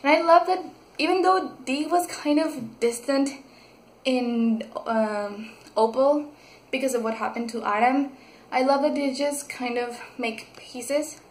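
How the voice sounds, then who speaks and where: A young woman from Mexico, reviewing a book in a casual vlog, gushing and excitable with many fillers and restarts. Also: high-pitched, measured pace, slight background noise. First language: Spanish. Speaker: book vlogger. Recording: echoey room.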